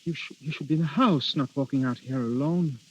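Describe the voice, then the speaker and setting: An actor playing an anxious, lovesick young suitor, earnest and pleading, in a 1930s radio drama. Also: male voice, mid-range voice, a little fast, natural voice. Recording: muffled vintage 1930s radio recording.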